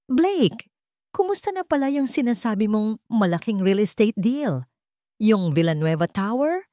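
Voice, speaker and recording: A woman's voice: medium pitch, sing-song, measured pace, mellow, no echo, pitched above the performer's own voice. Woman as older wealthy father, drama: curt, stern, aloof, dry close sound. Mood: interested.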